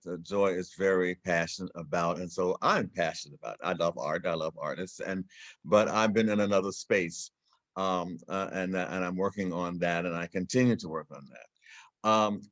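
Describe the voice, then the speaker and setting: Deep-voiced wry man. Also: moderate pace, fairly bright tone. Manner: wry, self-deprecating humor. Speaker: guest speaker. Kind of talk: live online conversation.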